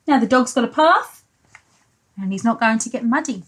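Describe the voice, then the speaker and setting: A woman's voice: fairly high pitch, lively intonation, normal speed, a bit bright. A woman reading a picture book to young children as a pre-school teacher, adding simple asides about the pictures. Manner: child-directed, with tag questions and commentary on pictures.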